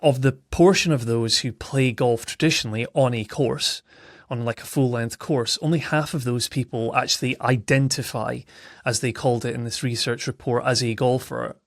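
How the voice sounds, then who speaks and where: A man in his thirties from Scotland speaks as a podcast guest. He tells his story in an earnest, reflective way and often restarts his sentences. His voice has a medium pitch and a dry, close sound. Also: steady tone, moderate pace, dry recording. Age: 30s. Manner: thoughtful, earnest, with self-corrections and fillers.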